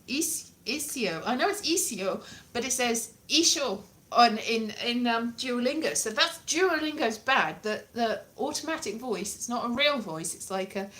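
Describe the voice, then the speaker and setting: A woman, perhaps in her late thirties, chatting casually to camera in an animated, rambling, dryly jokey way. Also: on the high side. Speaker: vlogger, language learner. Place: small plain room indoors.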